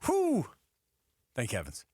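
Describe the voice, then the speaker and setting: A man's voice: on the high side, wildly swooping pitch, slow, mellow, husky, no echo, clean studio sound. A man hosting a casual sports talk radio show.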